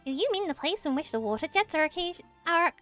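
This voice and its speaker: Tiny chirpy fairy sidekick from a game, super high, whiny n dramatic, music behind. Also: female voice, lively intonation, fast talker, fairly bright tone. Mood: puzzled. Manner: exclamatory, dramatic, refers to herself by name. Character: small excitable girl fairy companion, whiny and chirpy. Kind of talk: amateur video game character voicing.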